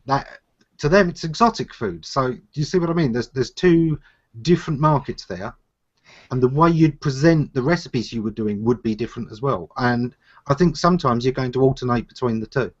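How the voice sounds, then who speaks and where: Man, consulting host, explanatory, steady, mid pitch, dull call audio. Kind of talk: advisory talk. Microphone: video call.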